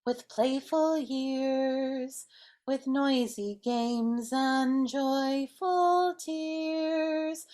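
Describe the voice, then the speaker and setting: A woman's voice: high voice, warm tone. A woman voicing an earnest, sweet Muppet family member in an amateur dramatic reading.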